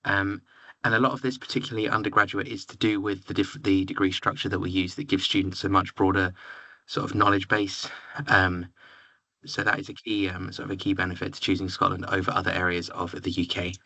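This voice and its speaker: A man's voice: low-pitched, a little fast, dry recording. A young man from near London with an English accent, presenting to education agents and filling gaps with "um" and "sort of". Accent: London area English. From England. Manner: relaxed, filler-heavy, says "sort of" often. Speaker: university representative.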